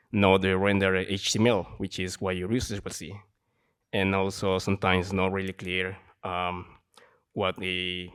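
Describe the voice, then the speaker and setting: A man from Ecuador with an Ecuadorian Spanish accent, a software developer giving a short conference talk. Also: low voice, clear, resonant tone. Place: conference stage.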